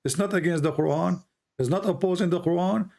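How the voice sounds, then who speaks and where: A man's voice: high voice, fairly quick, creaky, dark tone. A man whose first language is Arabic, speaking English with an Arabic accent, arguing insistently as the host of a heated debate. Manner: combative, insistent, repeats words for emphasis.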